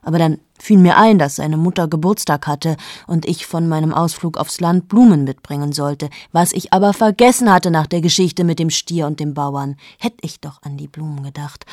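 Low-voiced, amoral woman journalist in her late 30s, confiding in hushed tones, dry studio radio play. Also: no room sound. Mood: anxious. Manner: intimate, addresses the listener, slips into whispers. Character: cold amoral female journalist, 38, confessing. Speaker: radio drama lead.

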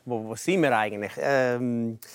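A man in his fifties from New York with an American accent, a comedian guest in a radio interview, talking haltingly and slipping English words into his German. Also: mid-range voice. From New York, USA. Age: 50s. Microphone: studio microphone.

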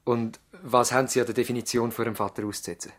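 An actor as a conscientious male country doctor in a seventies radio play. He talks quickly in a husky, mid-pitched voice. His manner is formal, polite and businesslike. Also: steady tone, fairly bright tone, close to an everyday voice. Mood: courteous, attentive. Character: conscientious locum country doctor, courteous but uneasy. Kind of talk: 1970s radio drama dialogue.

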